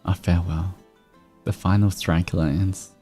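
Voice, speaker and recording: Male streamer, very low register, slow unhurried delivery, reading stories and chatting to viewers. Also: steady tone, breathy edge. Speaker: VTuber streamer host. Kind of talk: livestream fan-fiction reading with casual chat. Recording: soft music behind. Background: background music.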